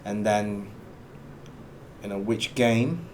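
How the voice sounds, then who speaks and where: A man with a fairly low voice brainstorms aloud on a casual live stream. His talk is loose and full of "like" and other fillers. A faint noise hums behind him. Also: fairly even intonation, slow.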